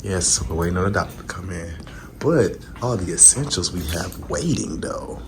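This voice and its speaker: A man's voice: deep voice, animated, moderate pace, noisy background. A man chatting casually and playfully while filming, teasing and affectionate in his talk. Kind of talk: casual chat filming for the vlog.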